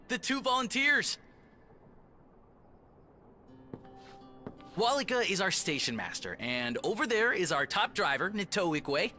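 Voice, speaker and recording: Expressive, eager young-sounding railway worker. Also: male voice, fairly high pitch. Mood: grateful, eager. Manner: expressive, polite, effusive. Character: earnest, eager male railway worker, overworked and grateful. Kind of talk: video game cutscene acting.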